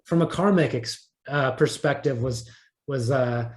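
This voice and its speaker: A man's voice: mid-range voice, measured pace. A man being interviewed as an audio drama creator, explaining casually and thinking aloud as he goes. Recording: roomy, echoing sound.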